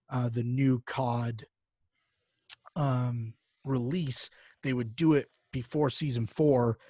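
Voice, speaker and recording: A man's voice: mid-range voice, darkish tone, no room sound, clean studio sound. A man co-hosting a podcast in informal, joking chat, with some casual swearing. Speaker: podcast co-host.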